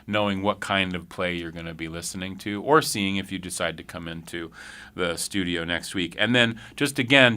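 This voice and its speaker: A man's voice: deep voice, bright, forceful tone, clear, resonant tone. A man hosting a radio show in a casual, conversational interview style.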